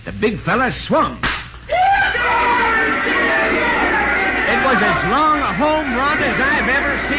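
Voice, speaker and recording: An American man playing a sportswriter who narrates a ballgame in 1940s radio drama style. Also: sits high. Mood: excited. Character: excitable male sportswriter recounting a ballgame. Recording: muffled, noisy 1940s radio recording.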